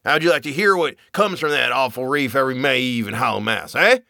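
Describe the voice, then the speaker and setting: A man's voice: medium pitch, a little fast, vocal fry, sharp tone, no echo, clean studio sound. A man voicing a wild, terrified old man who raves in a rustic old-timer dialect, in a horror story reading. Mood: raving. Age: older. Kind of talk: audiobook character reading.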